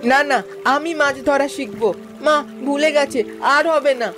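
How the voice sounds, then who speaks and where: Casting: actress voicing a restless boy duckling, high-pitched and over-eager, faint music under. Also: moderate pace, natural voice. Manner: eager, impatient. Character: impatient little boy duckling. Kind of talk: cartoon voice acting.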